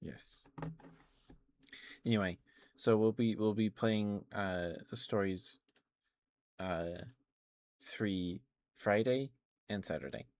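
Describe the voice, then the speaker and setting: Man, low-pitched, unhurried, halting, gentle ASMR chat, live streamer. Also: no echo. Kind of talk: ASMR, casual rambling chat.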